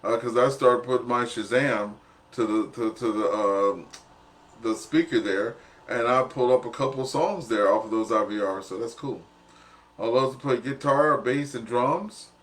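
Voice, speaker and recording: Male radio net host, mid-pitched voice; jovial, chatty, informal and happy to poke fun at himself. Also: normal speed. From southern United States. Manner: jovial, chatty, self-deprecating. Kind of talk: casual amateur radio net chat.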